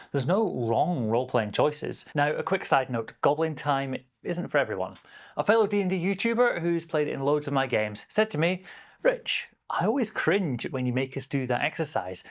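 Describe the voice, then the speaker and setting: A man presenting a scripted explainer as a game master, with a playful, emphatic and encouraging delivery. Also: mid-range voice. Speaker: game master and video host.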